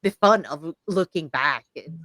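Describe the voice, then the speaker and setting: A woman's voice: sits low, normal speed, no echo. Swedish-born woman hosting a podcast, bouncy up and down voice, dry close mic. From Sweden. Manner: animated storyteller, acting out quoted lines. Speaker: podcast host. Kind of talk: casual podcast chat.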